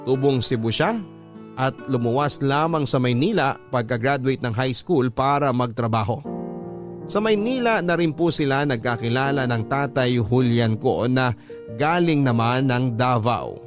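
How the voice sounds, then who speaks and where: A man's voice: medium pitch, animated, unhurried, lightly acted. A man narrating a scripted first-person letter for a radio drama, politely and earnestly reminiscing. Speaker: storyteller reading a listener's letter. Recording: music bed under the voice. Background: background music.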